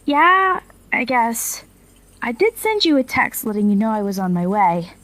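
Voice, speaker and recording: A woman's voice: fairly high pitch, sing-song, a little slow, a bit bright. A young woman playing an apologetic, eager college student in an audio drama, speaking with a hesitant, friendly manner. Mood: awkward, apologetic. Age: young adult. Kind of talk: naturalistic audio drama acting.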